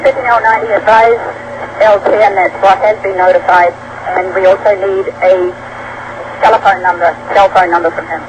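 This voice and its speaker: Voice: medium pitch, fairly even intonation, normal speed. A police dispatcher passing on bulletins in clipped procedural wording over a radio channel. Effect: police radio transmission. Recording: dull, noisy police radio band.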